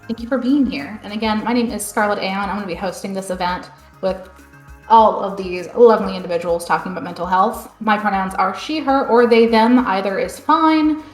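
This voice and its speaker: A woman hosting a live online panel discussion, chatty and relaxed. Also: medium pitch, brisk, roomy sound, faint music behind. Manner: relaxed, chatty, many fillers like "end up". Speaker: panel host.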